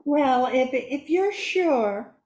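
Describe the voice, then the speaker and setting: A woman's voice: high voice, animated, drawn-out words, darkish tone, full character voice. A woman acting a sweet, innocent young woman in her early twenties, earnest and spirited, in an amateur radio drama with roomy sound. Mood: worried.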